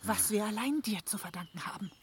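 An actress playing an indignant, reproachful goddess in a German fantasy radio play, scolding emphatically. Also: female voice, medium pitch, lively intonation, a little fast, very noisy, lightly acted.

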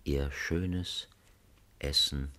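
Very deep, slow male storyteller. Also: steady tone, sharp tone. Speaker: narrator. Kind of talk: dramatic storytelling narration for radio drama.

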